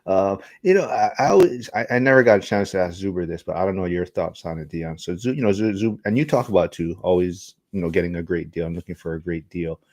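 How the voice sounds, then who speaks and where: A man's voice: low voice, fast, few pauses, darkish tone. A man co-hosting a podcast, asking rambling, hesitant questions in casual chat. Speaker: co-host.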